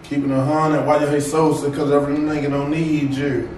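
A man's voice: fairly high pitch, little pitch movement, a little mellow, slight background noise. Mumbling young man, roomy and distant. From Baltimore. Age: young adult. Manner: mumbled, halting street slang. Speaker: rapper. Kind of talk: casual interview.

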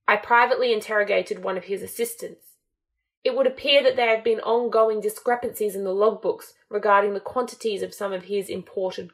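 A formal, strained male servant in an audiobook, as a woman reader puts him on. He reports in a measured, careful way at a middling pitch. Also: gravelly, natural voice.

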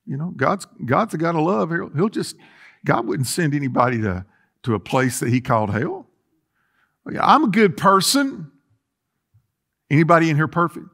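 A man's voice: fairly high pitch, big pitch swings, moderate pace, vocal fry, pauses often, fairly bright tone. A middle-aged man preaching a sermon in a large church sanctuary, pacing and gesturing as he talks with earnest restarts. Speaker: pastor. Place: large church sanctuary with rows of chairs, indoors.

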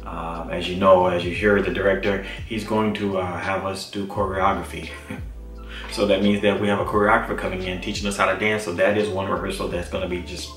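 Man, American accent, deep voice, halting informal delivery, off-mic, faint music behind. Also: flat delivery, fairly bright tone, reverberant, distant mic. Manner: halting, searching for words. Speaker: actor presenting a behind-the-scenes series. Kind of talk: informal video diary to camera. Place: small indoor office.

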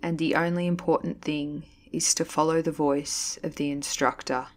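Low, even-voiced woman guiding meditation. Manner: calm, soothing, slow, deliberate pauses. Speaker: yoga nidra instructor. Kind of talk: guided meditation, scripted narration.

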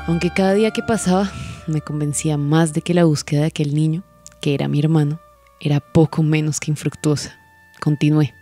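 A woman's voice: low-pitched, steady tone, fairly quick, warm tone, soft background music. A woman playing a cold, calculating, vengeful businesswoman confessing in an audio drama. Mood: cool, knowing. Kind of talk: scripted dramatic monologue.

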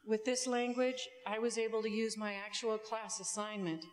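A middle-aged woman giving a prepared commencement speech as a student speaker, measured and deliberate, with some ad-libs. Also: a little high, fairly even intonation. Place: indoor auditorium stage. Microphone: podium microphone. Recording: long hall reverb from stage sound system.

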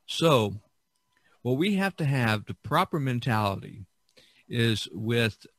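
Bright-voiced American podcast host. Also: male voice, medium pitch, very animated, slow, no echo. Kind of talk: casual podcast talk.

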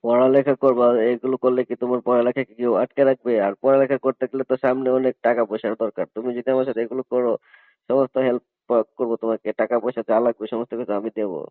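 A man's voice: medium pitch, little pitch movement, fast talker, no echo, lightly acted. A man playing a coercive college hostel superintendent in a phone-call drama, insistent and persuasive as he pressures a student. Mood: coaxing.

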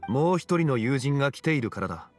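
An actor playing a calm, dignified gentleman in an anime game, speaking formally and in measured, old-fashioned phrases. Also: mid-range voice, in a large hall, soft background music, natural voice. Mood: calm, courteous. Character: calm, dignified gentleman, an unhurried scholarly consultant.

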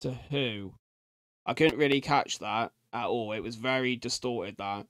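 A man's voice: mid-range voice, unhurried, a bit bright, no room sound, clean studio sound. A man in his thirties giving casual reaction commentary, musing aloud and talking informally to viewers. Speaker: reaction video host. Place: indoors, seated at a desk.

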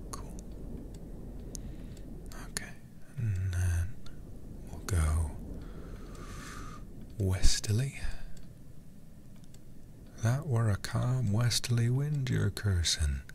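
A man murmuring ASMR game commentary to himself close to the microphone, in a breathy, gravelly voice that often drops into a whisper. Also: on the low side, lively intonation, extremely slow, pauses often, background noise. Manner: murmuring to himself, mild swearing. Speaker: livestreamer.

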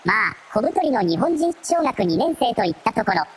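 A woman's voice: fairly high pitch, sing-song, normal speed, breathy edge, full character voice. A woman playing a coolly analytical assistant creature in a radio drama, reporting in clipped, formal phrases. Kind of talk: Japanese radio drama acting.

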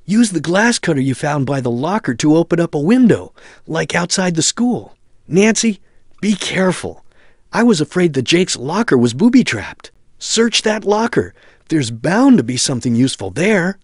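An actor playing a helpful, concerned friend giving earnest hints in an adventure game. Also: male voice, a little high, big pitch swings, normal speed, no room sound, clean studio sound. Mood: earnest, advising. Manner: earnest, advising. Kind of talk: adventure game hint dialogue.

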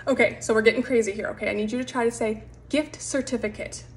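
A woman in her thirties with a high voice speaks gently and playfully to her pets. It is a casual comedy skit filmed on a phone at home, with faint background noise. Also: fairly even intonation, quick pace. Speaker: pet owner, video creator. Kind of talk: playful chat with pets in short comedy skits.

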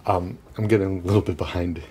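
A man's voice: deep voice, fairly even intonation, fast talker, warm tone, a little hiss or hum. A young man guiding a walking tour outdoors on city streets, chatty and casual, often laughing between points. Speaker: tour guide.

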